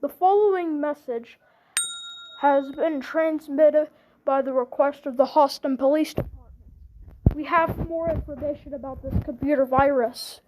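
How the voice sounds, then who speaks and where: Casting: woman, high mellow voice, amateur emergency-alert announcer, halting delivery with repeated phrases. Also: slight background noise, rough recording. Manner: halting, repeats phrases and restarts sentences often.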